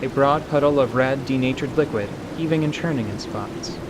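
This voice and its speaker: Measured man narrating over clear background ambience. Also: mid-range voice, noisy background. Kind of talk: scripted story narration.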